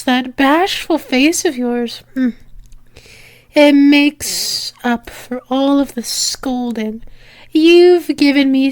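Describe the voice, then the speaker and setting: Woman acting a slow, high-voiced flirtatious demon temptress. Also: clear, resonant tone, no echo. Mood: teasing, flirtatious. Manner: sultry, teasing, drawn-out with lingering pauses. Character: flirtatious, teasing female demon seducing an angel. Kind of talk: ASMR roleplay. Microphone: close microphone.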